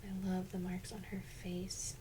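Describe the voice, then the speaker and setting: A young adult woman speaking softly in a breathy, whispery voice, in a gentle, casual ASMR unboxing style. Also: fairly low pitch, completely flat delivery, a little slow, background noise. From Mexican by nationality or heritage. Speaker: ASMR video creator. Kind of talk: soft-spoken ASMR unboxing.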